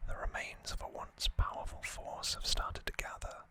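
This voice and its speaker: An ASMR host speaking in an unhurried, informal whisper, chatting through commentary close to the microphone. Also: sharp tone. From United Kingdom. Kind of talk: whispered ASMR, relaxed show-and-tell commentary.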